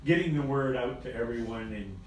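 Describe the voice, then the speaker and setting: A man presenting an award with halting, stop-start praise, recorded on a phone from the audience in a parlor. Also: mid-range voice, slight background noise. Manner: halting, repeats words, uses fillers. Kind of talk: presentation remarks. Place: parlor of an old house, indoors. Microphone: phone camera in the audience. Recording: very echoey room, distant phone recording.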